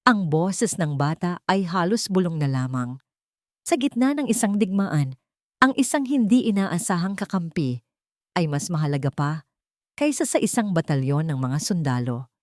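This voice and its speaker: A woman narrates scripted drama in a low register. The sound is dry, with very little room around it. She delivers it as a storyteller reading fiction. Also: big pitch swings, moderate pace, a little mellow, dry recording.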